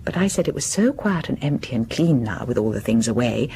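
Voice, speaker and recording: Secretive, unravelling wife, noisy recording. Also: female voice, very deep voice. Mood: paranoid, determined. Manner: halting, breathy confiding with sudden excited rises. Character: secretive, unravelling Victorian wife, obsessed and paranoid. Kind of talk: dramatic radio monologue.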